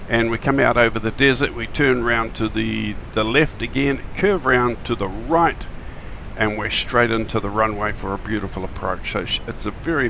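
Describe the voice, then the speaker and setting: A middle-aged man talks his viewers through a flight simulator stream in a loose, friendly way, full of fillers and restarts. His voice is gravelly and croaky from the early hour, with a bright edge. A faint hum sits behind him. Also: mid-range voice. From New Zealand. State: croaky morning voice. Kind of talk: casual live-stream chat.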